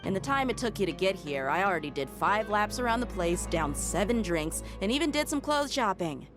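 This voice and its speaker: A woman's voice: medium pitch, sing-song, fairly quick, lightly acted. A woman playing a confident young female mercenary in a video game, playful, teasing and self-assured. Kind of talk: video game cutscene acting. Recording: game music underneath.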